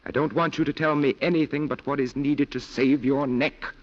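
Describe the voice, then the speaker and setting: A man's voice: medium pitch, steady tone, normal speed, lightly acted. An actor in a 1940s radio drama playing a principled, stern defense lawyer who is torn by his duty. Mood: stern, urgent. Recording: muffled 1940s radio broadcast recording.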